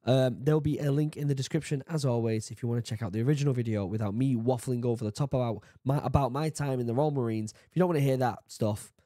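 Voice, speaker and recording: A man in his thirties from the UK, a bit hoarse from being sick, chatting casually as he reacts to a video and reads labels aloud, excited now and then. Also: medium pitch, fast, few pauses, no room sound. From United Kingdom. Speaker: YouTube reaction host. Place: home study with bookshelves. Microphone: studio mic on boom arm, headphones.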